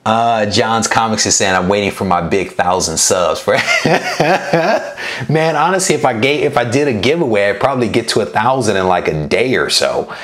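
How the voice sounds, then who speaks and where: Low-voiced man chatting on a livestream. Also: moderate pace. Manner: relaxed, rambling, many fillers and restarts. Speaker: comic collecting channel host. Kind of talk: casual livestream chat reading viewer comments.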